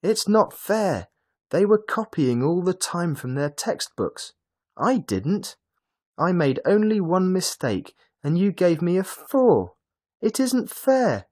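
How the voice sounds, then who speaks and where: A man acts out an angry, stubborn schoolboy in a storybook. The voice leaps high and cries out loudly in indignant protest, with a husky edge. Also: big pitch swings, slow, heavily acted. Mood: furious, indignant. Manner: crying out angrily in protest.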